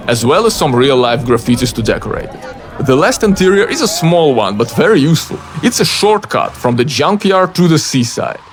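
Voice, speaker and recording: A man who speaks English as a second language, narrating a scripted game mod showcase as its creator, with playful, wry asides. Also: on the high side. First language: not English. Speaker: video creator and game modder.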